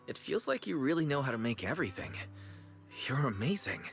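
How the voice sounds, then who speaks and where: A man's voice: mid-range voice, sing-song, a little fast. An actor playing a kind, easygoing young man in an anime-style video game, talking in a friendly, reassuring way. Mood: warm, appreciative. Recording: background music under voice.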